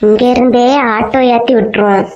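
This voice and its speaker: A woman's voice: fairly high pitch, fairly even intonation, fairly quick, a little mellow, dull audio, close to an everyday voice. A woman plays a shrewd cartoon daughter-in-law who grumbles as if sharing secrets. Her delivery is dry and her accent is Kanyakumari Tamil. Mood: grumbling. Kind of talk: cartoon comedy acting.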